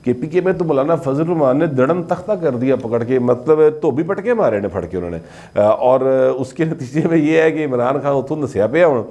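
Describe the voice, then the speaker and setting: A man's voice: mid-range voice, animated, moderate pace, warm tone. A man with a Pakistani Punjabi accent giving conversational, informal commentary as a political commentator. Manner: conversational, slipping between Urdu and Punjabi.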